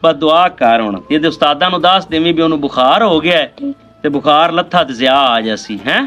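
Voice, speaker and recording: A man's voice: on the high side, fairly quick, natural voice. A man with a rural Pakistani Punjabi accent acting a poor, proud, worried village father in a weary, rambling way. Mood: explaining, resigned. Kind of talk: amateur moral drama acting. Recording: dull lo-fi video with faint music.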